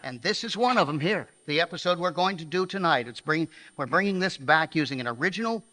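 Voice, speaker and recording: Bright, forceful man presenting in a hall. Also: fairly high pitch, lively intonation, brisk. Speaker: presenter and member of a radio re-creation troupe. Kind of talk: introducing a live show to an audience. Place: live stage at a banquet hall. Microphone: stage microphone. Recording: reverberant hall sound.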